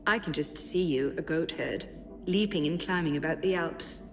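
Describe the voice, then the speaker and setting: An actress playing a spirited young French noblewoman in a video game, reading letters aloud in an expressive, measured, articulate way. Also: deep voice. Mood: hopeful, reflective.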